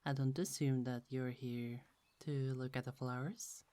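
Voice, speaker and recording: A woman voicing a gentle, chatty young goddess in an ASMR roleplay, speaking softly with frequent little laughs. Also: rumbling low, steady tone, unhurried. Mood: friendly. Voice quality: soft, half-whispered, giggly.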